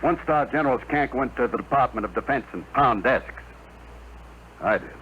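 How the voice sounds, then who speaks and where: Man playing gruff, fast-talking general. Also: medium pitch, natural voice. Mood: bitter, resigned. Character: gruff, weary male Air Force general. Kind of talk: 1950s radio acting. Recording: muffled, hissy 1950 radio broadcast.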